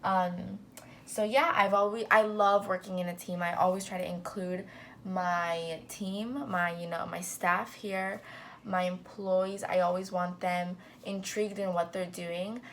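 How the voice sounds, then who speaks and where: A woman's voice: on the low side, little pitch movement, measured pace, a bit bright, a little hiss or hum. A young woman talking casually as a business owner to a new intern, upbeat and full of fillers. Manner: chatty, upbeat, full of ums and "you know".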